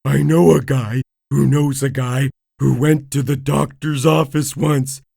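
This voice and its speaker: Woman voicing dim starfish buddy: raised pitch, booming, slow, dopey, dry read. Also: fairly even intonation, gravelly, mellow, husky, no room sound, heavily acted. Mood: dopey, loud. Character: dim-witted friendly male starfish, loud.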